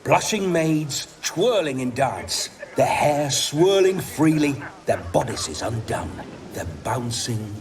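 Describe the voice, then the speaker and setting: A man's voice: fairly high pitch, expressive, slow pace, faint music behind. A man voicing a vain, lecherous ghost of a nobleman in a video game, theatrical and teasing. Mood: playful, boastful. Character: rakish, boisterous ghost of a nobleman, vain reveler. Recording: noisy, distant game scene capture.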